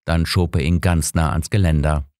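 A man narrating a detective story as an audiobook, in a measured, dramatic storytelling manner. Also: extremely low pitch, fairly even intonation, a little mellow, no room sound, clean studio sound.

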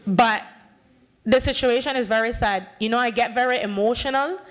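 High, passionate Bay Islands Honduran woman. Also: moderate pace. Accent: Bay Islands Honduran English. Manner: passionate, emphatic, gestures widely, acts out dialogue. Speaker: panel speaker, community activist. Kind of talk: impassioned conference talk. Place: conference room at a podium. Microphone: podium microphone.

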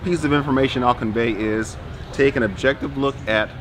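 A man's voice: mid-range voice, steady tone, a little fast. An American man in his thirties giving an informational briefing as an official at an exhibit booth. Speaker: aviation official explaining a program. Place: exhibit booth in a large indoor hall. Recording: busy exhibit hall chatter.

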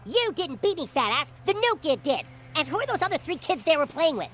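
A man voicing an indignant, sharp-tongued boy who argues back and curses. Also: very high-pitched, big pitch swings, brisk, heavily acted. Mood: exasperated. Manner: exasperated, cursing retorts. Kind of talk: cartoon game cutscene acting.

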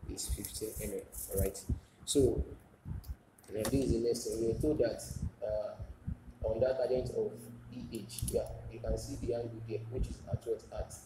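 Male instructor, lowish voice, repetitive step-by-step explanation, self-answered questions, noisy room recording. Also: steady tone, normal speed. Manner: repeats phrases, asks and answers own questions. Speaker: technical drawing teacher. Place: indoors at a drawing desk. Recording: echoey room, noisy, with clicks.

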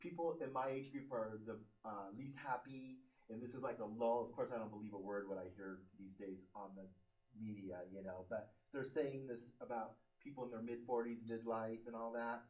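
A man's voice: medium pitch, steady tone, brisk, dull audio. A man in his forties hosting a live TV studio show, gushing and enthusiastic with his guests. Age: 40s. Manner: enthusiastic, effusive. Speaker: host. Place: TV studio set. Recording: roomy studio from a distance.

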